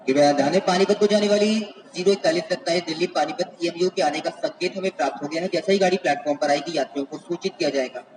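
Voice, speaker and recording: A man announces trains in an official, run-on rattle at very high speed. His voice reaches the listener from far off through station loudspeakers, washed in echo. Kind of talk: live platform announcement, repeated formula. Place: over loudspeakers at a railway station. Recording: echoey, distant station public address.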